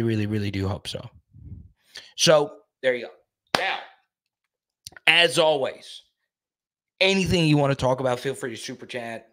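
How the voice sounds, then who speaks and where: A man in his thirties hosting a casual live stream, talking into a studio mic in a small room, animated and quick to repeat himself while reading out chat comments. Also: mid-range voice. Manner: animated, repeats words, reads chat aloud. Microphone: studio mic on boom arm in front.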